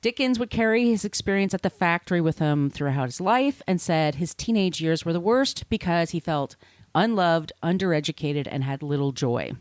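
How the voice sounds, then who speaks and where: A woman's voice: on the low side, animated, normal speed, dry recording. A woman hosting a podcast, reading scripted narration with casual, irreverent asides. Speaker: podcast host.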